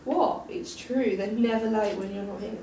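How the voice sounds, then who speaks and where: A woman's voice: medium pitch, normal speed, close to an everyday voice. A woman reading the part of a caring, teasing young friend at a drama table read, recorded at a distance in an echoey, noisy room.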